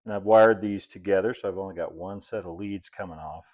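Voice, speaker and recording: A middle-aged American man giving a casual hands-on tutorial, unhurried and folksy, thinking aloud as he works. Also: low voice, darkish tone. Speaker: host, model railroad builder. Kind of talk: casual hands-on tutorial talk while working. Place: indoor hobby workshop at a cluttered workbench and layout.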